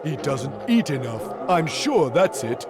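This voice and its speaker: A man's voice: a little high, very animated, unhurried, crowd noise. A man voicing a big, naive, simple-hearted strongman in a cartoon game, with a childlike, guileless manner. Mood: naive, cheerful. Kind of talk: animated cartoon game voice acting.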